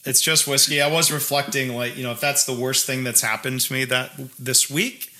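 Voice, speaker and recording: Male podcast co-host, bright and forward tone, casual and halting with lots of fillers. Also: medium pitch, flat delivery. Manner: halting, many restarts and fillers. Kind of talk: casual conversation.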